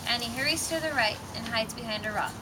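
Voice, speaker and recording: A woman's voice: fairly high pitch, fast talker. A woman narrator reading a scripted children's story aloud. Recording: very noisy amateur recording.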